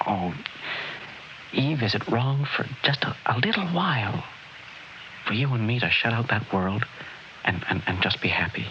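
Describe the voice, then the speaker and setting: An actor playing a young, folksy, excitable honeymooning husband in a halting, colloquial way, in 1960s radio drama style. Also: male voice, medium pitch, natural voice. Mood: earnest, wistful. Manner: halting, repeats words, colloquial. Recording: dull, noisy old radio recording.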